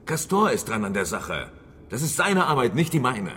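An actor playing a gruff, dismissive chairman of local traders in a radio crime drama, scornful and evasive. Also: mid-range voice, very animated, moderate pace, pushed and bright, a little hiss or hum.

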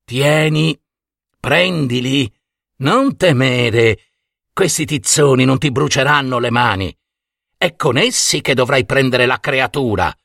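A man's voice: mid-range voice, takes it slowly, gravelly, fairly bright tone, no echo, clean studio sound, exaggerated voice. A man voicing a commanding village healer aunt in a storybook tale, with an emphatic, incantatory delivery. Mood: solemn reassurance. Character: commanding folk-healer aunt, urgent, chanting spells over a cauldron.

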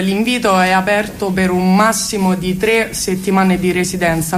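A woman explains things as a radio interview guest, discursive and often rephrasing herself. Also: on the low side. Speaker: guest representing a cultural collective. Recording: faint background noise and ambience.

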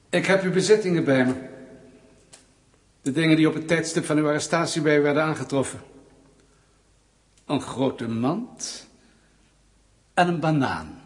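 A husky, fairly high-voiced male secret police interrogator in a radio drama. He is mock-polite and menacing, drawing out his words with pointed pauses and insinuation. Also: lively intonation. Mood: coolly polite. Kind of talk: radio play acting.

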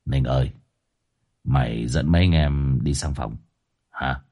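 A man doing a low-voiced easygoing gang boss. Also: a little slow, dark tone. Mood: confiding. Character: laid-back middle-aged male gang boss.

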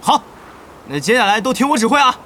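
High-voiced, excitable boastful gamer guy. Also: fast talker, noisy background. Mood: bossy. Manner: excitable, pushy, wheedling. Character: boastful, clingy young male gamer, eager and wheedling. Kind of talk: audio drama acting.

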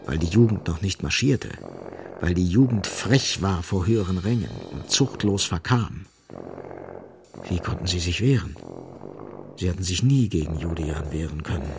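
An actor as a grave, dramatic literary narrator in a radio play. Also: male voice, deep voice, gravelly. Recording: music underneath.

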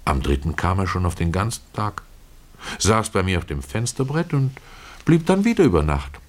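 A very low, bass male voice a poor, kindly Russian caretaker in a radio play. He tells his own story in a folksy, ruminative manner, pausing for rhetorical asides to his listener. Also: sing-song. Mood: reminiscing. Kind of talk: dramatic first-person literary storytelling, radio play.

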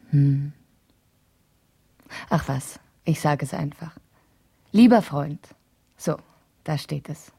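A woman's voice: low-pitched, dark tone, husky, exaggerated voice. An actress playing a wife in a radio play, confiding and hesitant as she thinks aloud over an emotional letter. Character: wife writing an emotional letter to a trusted friend.

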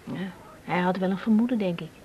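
A woman's voice: fairly low pitch, a little fast, warm tone, slight background noise, natural voice. An actress playing a troubled, conscientious young woman doctor in a Dutch radio drama, speaking hesitantly. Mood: doubting, uneasy. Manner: hesitant, searching for words. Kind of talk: 1980s Dutch radio drama acting with spoken diary monologue. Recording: dull 1980s radio recording.

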